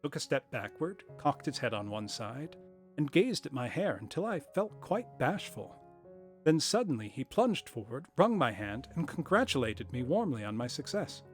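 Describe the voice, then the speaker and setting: A man's voice: mid-range voice, sing-song, normal speed, faint music behind, close to an everyday voice. A man doing a chatty old-time shopkeeper. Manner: put-on period accent, storytelling. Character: chatty middle-aged male shopkeeper telling his story. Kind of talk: reading a story aloud.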